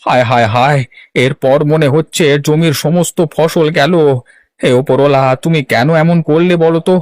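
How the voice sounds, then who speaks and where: A man's voice: on the high side, moderate pace, dry recording, clean studio sound, close to an everyday voice. A man voicing an anxious, lamenting poor village farmer in a cartoon, plaintive and exclamatory. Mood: worried lament. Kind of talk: Bengali cartoon voice acting.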